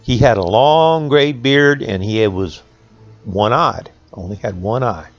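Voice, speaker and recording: A man with a Southern Appalachian American accent telling stories to children in a folksy, conversational way with dramatic pauses. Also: medium pitch, dull audio, soft background music. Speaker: school resource officer reading folk tales to students.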